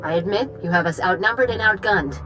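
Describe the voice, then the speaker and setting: A woman playing a cool, resolute starship captain in a video game, defiant and steady in a military way. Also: mid-range voice, fairly quick, soft background music, lightly acted. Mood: composed, defiant. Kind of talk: video game cutscene acting.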